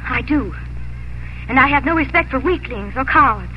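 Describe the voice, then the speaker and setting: High-voiced spirited young belle. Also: female voice, expressive, moderate pace, slight background noise. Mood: indignant, cold. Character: spirited, proud young Southern planter's daughter, female. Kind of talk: 1950s radio drama acting. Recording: muffled old radio broadcast, music.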